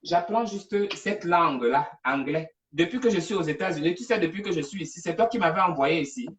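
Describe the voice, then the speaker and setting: A woman hosting a casual live stream, talking in an animated, digressive, chatty way. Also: lowish voice, a little fast. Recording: distant from the mic.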